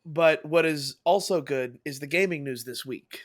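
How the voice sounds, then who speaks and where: A man hosting a casual gaming podcast, his voice soft and hoarse from having lost it, rambling enthusiastically with fillers. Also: fairly high pitch, normal speed, no echo, clean studio sound. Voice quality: soft, breathy. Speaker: podcast host.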